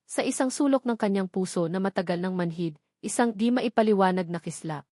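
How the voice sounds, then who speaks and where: Female Filipino audiobook narrator, mid-pitched, measured dramatic delivery with weighted pauses, close dry recording. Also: darkish tone, no room sound. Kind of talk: scripted dramatic story narration.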